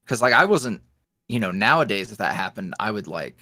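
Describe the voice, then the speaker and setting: A man's voice: on the low side, sing-song, a little fast, sharp tone, no echo, studio quality. A man being interviewed as a guest, chatting casually and telling rambling stories with frequent laughs. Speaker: ASMR roleplay creator, podcast guest.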